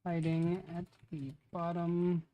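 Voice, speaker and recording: A man's voice: fairly high pitch, level tone, takes it slowly, highs cut off. A man in his late twenties streaming casually, murmuring phrases aloud as he writes, then chatting with friends and swearing freely. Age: 20s. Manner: mutters lines aloud while typing, then casual banter with swearing. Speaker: streamer writing a tabletop campaign. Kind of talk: casual livestream chat.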